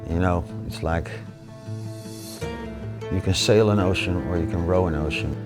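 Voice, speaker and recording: A man's voice: low voice, normal speed. Dutch-accented man over guitar music. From Netherlands. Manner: plain-spoken, lists feats matter-of-factly, frequent uh fillers. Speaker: adventurer interviewee. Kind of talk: casual interview talk. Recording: music playing underneath.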